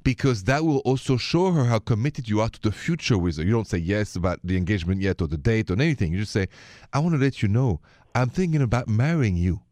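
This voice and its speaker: Low-voiced French man hosting radio. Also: lively intonation, quick pace, a little mellow, dry recording, studio quality. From Paris, France. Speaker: radio host giving relationship advice. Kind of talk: conversational call-in radio talk.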